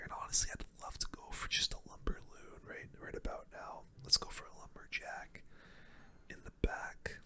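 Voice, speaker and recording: A young man speaks entirely in a breathy whisper, with no full voice behind it. He gives casual, slangy gaming commentary as an ASMR host, taking his time over the play. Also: extremely high pitch.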